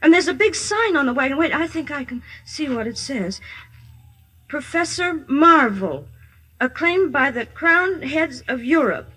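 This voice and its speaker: A woman playing an earnest, trusting, excitable Kansas farm girl in a 1950s radio drama, with wide-eyed exclamations and hesitations. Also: sits high, unhurried, exaggerated voice, rough recording. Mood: wondering, shy. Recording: vintage radio broadcast with orchestral music.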